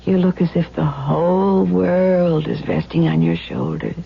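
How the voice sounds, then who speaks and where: An actress playing an anxious, loving wife in an old-time radio drama, emotional and pleading. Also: female voice, fairly low pitch, sing-song, a little slow, creaky, heavily acted. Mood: tender. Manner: emotional, pleading, repeats phrases. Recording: muffled, noisy old radio recording.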